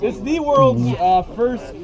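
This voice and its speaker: High-voiced man reading aloud outdoors. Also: expressive, takes it slowly, creaky, over a noisy crowd. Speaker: author reading his novel. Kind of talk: comic dramatic reading. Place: outdoors at a medieval combat event.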